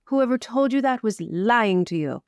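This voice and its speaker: Tabletop player, woman, slightly high voice with lively swings, speaking improvised in-character lines. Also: measured pace, smooth, no room sound, clean studio sound.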